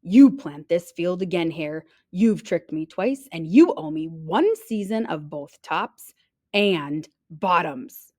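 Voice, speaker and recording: Woman as a slow, drawling, grumpy storybook bear. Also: lowish voice, animated, dark tone. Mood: angry, grumbling. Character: lazy, sleepy, grumpy big male bear.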